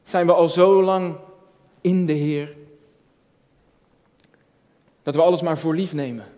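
A man's voice: on the high side, steady tone, takes it slowly, dark tone. A man in his early thirties preaching a personal, reflective sermon, earnest, repeating phrases for emphasis and asking rhetorical questions. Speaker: junior pastor. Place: indoor church stage at a lectern, filmed from a distance. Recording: long hall reverb.

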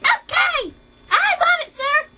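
A performer voicing a small, eager, squeaky subordinate soldier in a hobby short film. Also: expressive, takes it slowly, heavy background noise, full character voice.

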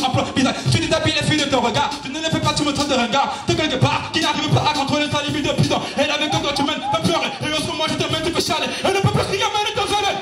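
A young man performing gospel rap live on stage with a handheld mic, shouting rhythmic verses and calling out to the crowd. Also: very high voice. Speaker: gospel rapper. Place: outdoor night concert stage. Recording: roomy, distant live concert audio with music. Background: backing music.

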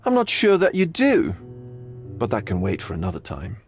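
An actor playing a cultured, philosophical gallery owner in a video game, pompous and languid, with dramatic pauses. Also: male voice, on the low side, wildly swooping pitch, faint music behind.

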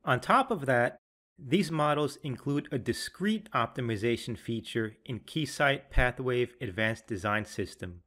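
A man reading scripted instructional narration in a measured, clearly enunciated, explanatory way. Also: mid-range voice, expressive, bright, forceful tone. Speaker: software tutorial narrator.

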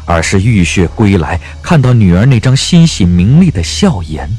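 Very deep-voiced man narrating a drama. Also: big pitch swings, moderate pace, a little mellow, slightly rough, faint music behind. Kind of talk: scripted audiobook drama narration.

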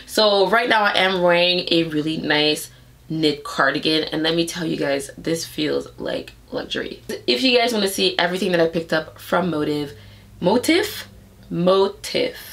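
A woman in her twenties with a stuffy nose, chatting upbeat and animated through a clothing try-on haul in her bedroom. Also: low voice, vocal fry. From Canada. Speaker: fashion vlogger. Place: bedroom with clothing rack, indoors.

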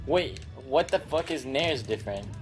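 A young man playing along with a friend, reacting with slow, incredulous, laughing comments. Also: mid-range voice, expressive. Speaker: co-player. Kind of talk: casual gameplay banter. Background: game music.

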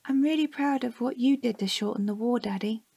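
A woman playing a bright, earnest young child in an audio drama, eagerly and precisely recounting things to a parent. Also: a little high, brisk, gravelly, husky, no echo. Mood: proud.

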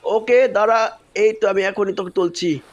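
Superhero rescuer, kids' cartoon, male voice actor: well-meaning, flustered, repetitive, hurried reassurance. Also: high voice, muffled recording, close to an everyday voice. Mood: flustered, reassuring. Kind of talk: children's superhero cartoon voice acting.

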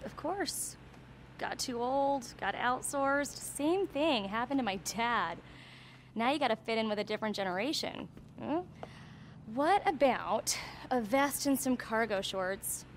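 A woman's voice: fairly high pitch, lively intonation, unhurried, slight background noise. A woman playing a blunt, pitying clothing store clerk in a video game.

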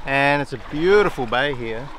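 A man's voice: medium pitch, moderate pace. A man in his forties, a sailing vlogger, giving casual running commentary from a sailboat deck into a handheld camera mic. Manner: excited, running commentary on the weather. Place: outdoors on a sailboat deck in a storm. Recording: loud wind and rain noise.

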